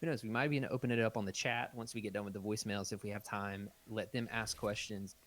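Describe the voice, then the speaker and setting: Low-voiced podcast host. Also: male voice, steady tone, fast talker. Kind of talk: casual live podcast chat.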